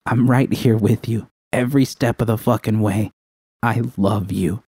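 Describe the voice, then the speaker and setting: A man's voice: lowish voice, steady tone, a little slow, a little mellow, slightly rough, no room sound, clean studio sound. Man voicing a tender, steadfast girlfriend, slightly raised. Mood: tender reassurance. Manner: soothing, earnest, plain-spoken.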